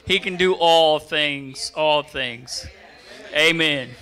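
A middle-aged man giving a halting, plain testimony in a small church on a handheld mic. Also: fairly high pitch, pushed and bright.

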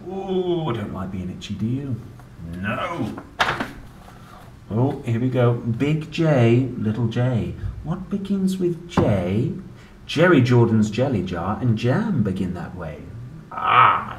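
Very animated man reading to children. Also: medium pitch. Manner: playful, sing-song, chatting to young listeners in questions and asides. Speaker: children's book reader and presenter. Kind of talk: animated read-aloud of a children's alphabet book. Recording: roomy, distant mic with faint background noise.

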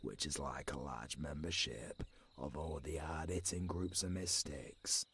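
A man playing a tough, caring old werewolf mentor with a London British accent and a raspy growl, in an audio roleplay. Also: steady tone, a bit bright, slight background noise. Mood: gruff, confiding. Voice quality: very deep, raspy, gravelly growl. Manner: slow, rough, swearing, commanding.

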